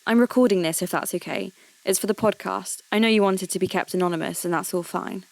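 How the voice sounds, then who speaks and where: A woman playing a polite, curious radio host who investigates mysteries for a podcast, speaking in a measured, questioning way. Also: medium pitch, gravelly, natural voice. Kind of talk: scripted narration and phone interview.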